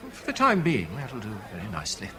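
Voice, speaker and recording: A man's voice: medium pitch, wildly swooping pitch, fast. An actor playing a worldly, urbane man genially in command in a 1970s British radio drama, suave and teasing. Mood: knowing, suave. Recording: busy club crowd behind.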